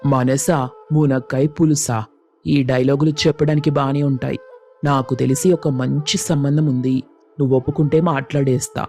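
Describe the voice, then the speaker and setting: A man's voice: mid-range voice, steady tone, moderate pace, a little mellow, soft background music, lightly acted. A man playing a teasing husband and brother-in-law who banters dryly in a family story. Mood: bantering.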